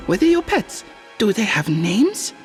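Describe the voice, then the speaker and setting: A woman's voice: medium pitch, big pitch swings, measured pace, music in the background. A woman voicing a chatty, inquisitive little village girl in a motion comic, eager and a bit hesitant. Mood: curious, eager. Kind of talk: character acting in a motion comic.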